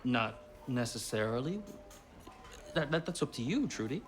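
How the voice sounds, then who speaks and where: Actor playing 1920s male suitor, mid-range voice, amiable, flattering, a bit awkward, natural TV drama, music underneath. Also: mid-range voice, normal speed, natural voice. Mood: flirtatious, amiable. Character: courting, slightly awkward, amiable man in 1920s city. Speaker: actor in a TV detective series. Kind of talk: naturalistic TV period drama acting. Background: background music.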